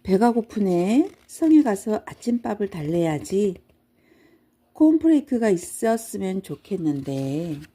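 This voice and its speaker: A woman's voice: medium pitch, sing-song, a little slow, mellow, breathy edge. Woman as a curious, polite little storybook boy. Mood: hungry, wishful.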